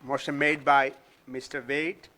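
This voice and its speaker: A man chairing a town council meeting, calling motions and votes in a measured, procedural way. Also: mid-range voice, flat delivery, sharp tone.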